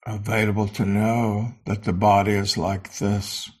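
A man teaching meditation, guiding a sitting in short, sparse phrases with long pauses between them. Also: on the low side, level tone, husky. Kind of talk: guided meditation.

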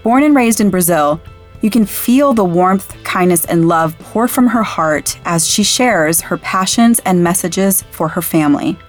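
Enthusiastic woman podcast host, low voice. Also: expressive, measured pace, faint music behind. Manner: enthusiastic, effusive. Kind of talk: podcast interview.

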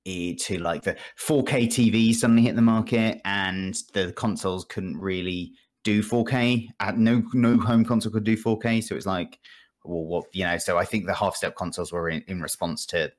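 A man's voice: sits low, moderate pace. A British man hosting a casual gaming podcast, rambling and thinking aloud with a wry manner.

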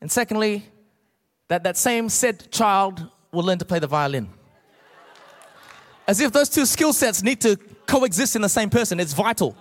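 Male preacher, middle-aged, Australian accent. Notably high voice, emphatic comic storyteller, big reverberant hall. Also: a bit bright. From Australia, born in Malaysia. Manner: emphatic, rhetorical questions to the congregation. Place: large church auditorium, speaking to a congregation.

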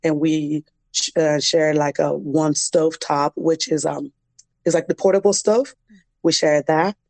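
Young woman telling her story, pretty even tone, close dry sound. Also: sits low, unhurried, creaky, dry recording. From Liberian, grew up in a refugee camp in West Africa. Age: young adult. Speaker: interview guest, dance program founder. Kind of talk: conversational personal storytelling.

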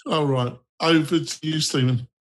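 A man's voice: fairly high pitch, fairly even intonation, moderate pace, no echo. A man hosting a podcast interview, introducing guests and asking loosely strung questions. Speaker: podcast host.

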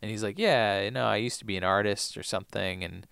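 A man's voice: fairly low pitch, fast talker, creaky, a bit bright, clean tone, no echo, clean studio sound. A man co-hosting a podcast, chatting casually and retelling scenes playfully. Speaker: podcast co-host. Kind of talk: casual chat, recapping TV episodes.

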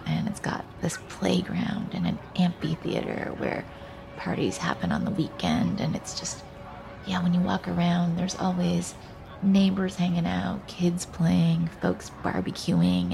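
A reflective woman speaks slowly about her own life, pausing to search for words. Her voice is on the low side and very creaky, with a halting, thoughtful delivery. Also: noisy background. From Taiwan and western Canada. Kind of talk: reflective personal narrative.

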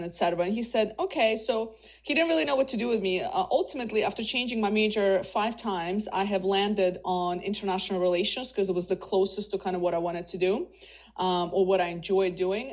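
Woman, 30s, from Russia, native Russian speaker; career guest, casual and rambling, middle pitch. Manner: chatty, many fillers and restarts. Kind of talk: casual interview.

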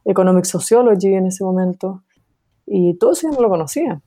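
A woman with a warm, mellow tone answers a host's questions. She thinks aloud as she goes and fills her pauses. Her pitch sits mid-range for a woman. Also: lively intonation. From Chile. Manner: thoughtful, many fillers and restarts, light laughs. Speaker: guest, sociologist. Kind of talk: interview answers.

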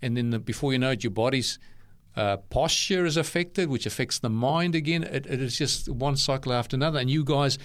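A man's voice: medium pitch, a little fast, hardly any pauses. A man hosting an interview, friendly and enthusiastic as he asks his guest questions, born in South Africa. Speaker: interview host. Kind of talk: conversational interview.

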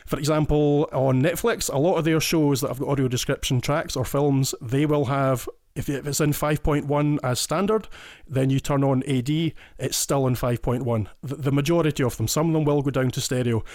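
A man's voice: medium pitch, steady tone, moderate pace, darkish tone, dry recording. Enthusiastic Scottish man, dry sound. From Scotland. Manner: enthusiastic, explains in detail with frequent restarts. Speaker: guest listener. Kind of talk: casual podcast chat.

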